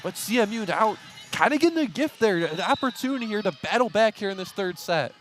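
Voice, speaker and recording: A man's voice: high-pitched, lively intonation, fairly quick, a bit bright. A man giving color commentary on live sports, with the venue audible behind him.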